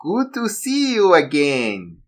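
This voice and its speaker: A man voicing a menacing villain boss with a put-on German accent, slow and mock-polite. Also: fairly high pitch, huge pitch swings, pitched above the performer's own voice, full character voice. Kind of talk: amateur storytelling.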